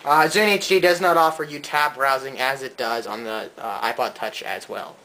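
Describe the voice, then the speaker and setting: A man's voice: medium pitch, normal speed, pushed and bright, low-quality recording. A man giving a casual, hands-on gadget review as a co-host.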